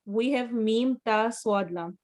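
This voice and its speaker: A woman's voice: a little high, slow pace, darkish tone. A woman teaching a class on Quran recitation rules, explaining patiently step by step with memory tips. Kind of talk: online class instruction.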